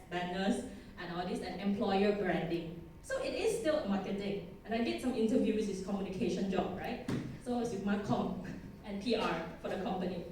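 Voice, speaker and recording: A woman in her thirties with a Thai accent gives a chatty conference talk in a big echoing hall. She sounds a little far from the mic, with soft room noise behind her. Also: medium pitch. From Thailand. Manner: chatty, self-deprecating, laughs often. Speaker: conference speaker. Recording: echoey hall, distant mic.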